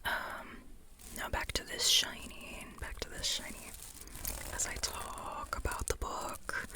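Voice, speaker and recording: An ASMR host speaks in a steady whisper, taking their time over each phrase. The delivery is soft and informal, rambling along and circling back to repeat words. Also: slow pace. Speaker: ASMR host reviewing a book.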